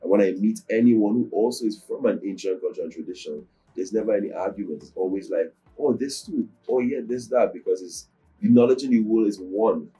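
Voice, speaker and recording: A young man from Nigeria with a low voice, a first-language Yoruba speaker. He answers interview questions in a measured, earnest way. His tone is soft and mellow. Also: low-quality recording. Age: young adult. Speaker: guest musician.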